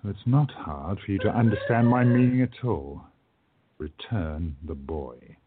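An actor playing a calm, protective time traveller in a radio play, speaking in a measured, firm way. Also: male voice, lowish voice. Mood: firm warning. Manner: measured, firm, hushed at times. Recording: narrow muffled phone-like audio.

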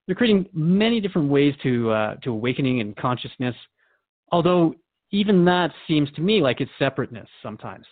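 A man plays a radio interviewer in a drama. He talks quickly but hesitates as he reflects, sounding earnest, questioning and easily troubled, in everyday conversational speech. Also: on the high side, sing-song, narrow, tinny audio. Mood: curious. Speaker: radio host.

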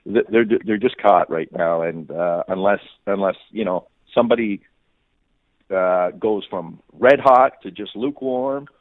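A man's voice: deep voice, measured pace, dry recording. A man giving off-the-cuff sports analysis as a guest reporter, often repeating words and restarting his sentences. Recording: muffled phone-like radio line.

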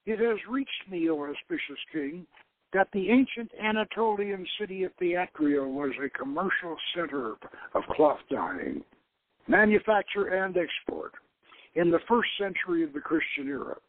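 A man's voice: high voice, sing-song, moderate pace, no room sound, exaggerated voice. Man reading as a learned woman archaeologist, narrow-band sound. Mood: storytelling. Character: worldly woman archaeologist, learned and teasing storyteller.

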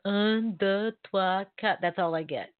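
A woman's voice: medium pitch, unhurried, no echo. Chatty woman on a tinny line. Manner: chatty, laughs often, trails off in restarts. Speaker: co-host. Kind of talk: casual online talk show chat. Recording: thin phone-like audio.

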